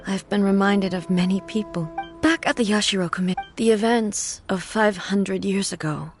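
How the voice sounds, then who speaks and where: Actress as a regal game shogun, female, formal, grave, measured phrasing, middling pitch, music underneath. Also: natural voice. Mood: solemn, reflective. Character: dignified ageless female shogun ruler, solemn and reflective. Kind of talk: video game character dialogue.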